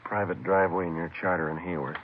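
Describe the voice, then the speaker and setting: A man's voice: low-pitched, level tone, fairly quick, a little hiss or hum, natural voice. A man acting as a terse American detective sergeant narrating his case in a clipped, matter-of-fact 1950s radio drama style. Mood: businesslike. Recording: muffled vintage radio recording with music behind.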